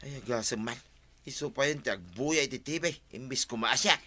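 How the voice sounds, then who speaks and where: A man's voice: medium pitch, normal speed, pushed and bright. A man with an Ilocano accent playing a grumbling, scheming village husband in a comedy radio drama, complaining and exclaiming.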